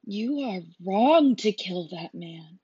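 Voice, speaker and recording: A woman's voice: medium pitch, big pitch swings, extremely slow, darkish tone, slightly rough, no echo, muffled recording, exaggerated voice. A woman voicing an earnest young man who is close to tears. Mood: pleading.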